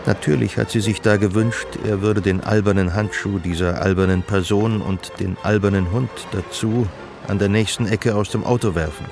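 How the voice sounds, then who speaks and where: A man acting as the measured, reflective narrator of a literary radio drama about love. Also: fairly low pitch, steady tone, creaky. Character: omniscient male narrator of a literary love story, observant. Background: music.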